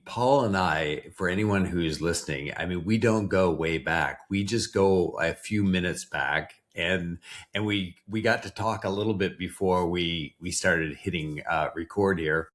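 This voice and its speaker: Low-voiced Canadian man, rambling. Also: a little slow. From East Coast Canada. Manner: rambling, self-correcting. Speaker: podcast guest. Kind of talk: casual interview chat.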